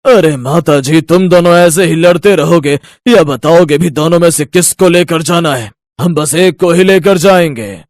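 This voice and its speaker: A man's voice: a little high, moderate pace, hardly any pauses, no room sound, clean studio sound, close to an everyday voice. A man voicing a stern, formal god of death who gives patient but insistent commands in a moral story. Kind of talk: animated Hindi moral-story voice acting.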